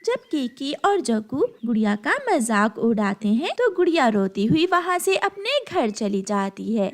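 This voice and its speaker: A woman's voice: on the high side, sing-song, unhurried. A woman narrating a scripted children's story as the narrator.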